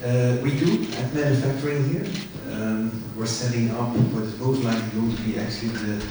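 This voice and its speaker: A man's voice: mid-range voice, fairly even intonation, fairly quick. A middle-aged man from the Netherlands, introducing himself as a conference panelist. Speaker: panelist, manufacturing director. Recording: distant echoey hall microphone, faint noise.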